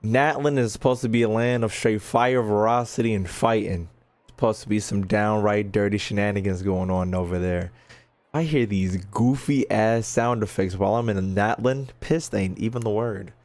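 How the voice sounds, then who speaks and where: A man doing casual, slangy gameplay commentary, expressive and given to mock-indignant rants. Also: fairly low pitch, moderate pace, no room sound. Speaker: streamer playing and reacting to a video game.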